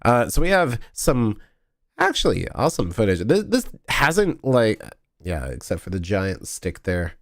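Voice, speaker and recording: A man's voice: on the low side, huge pitch swings, measured pace, dry recording, studio quality. A tired, sniffly man chatting casually on a stream, rambling and correcting himself as he goes. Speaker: vlogger streaming a convention video review. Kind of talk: casual live-stream chat while editing.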